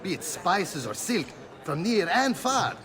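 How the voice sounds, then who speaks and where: Showy, formal Venetian guide. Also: male voice, high voice, sing-song, unhurried, a crowd in the background. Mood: showy, welcoming. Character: polished, welcoming Venetian tour guide, showing off the city. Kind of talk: video game character acting, formal guided-tour speech.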